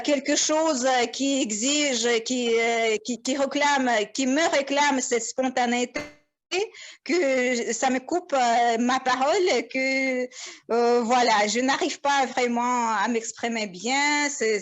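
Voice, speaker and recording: A woman speaks slowly, in a halting, unsure way, stopping and restarting her sentences again and again. Her voice is a little higher than most. She is heard over a video call. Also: steady tone. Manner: halting, many fillers and restarts. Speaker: first-time participant. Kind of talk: hesitant spoken self-introduction.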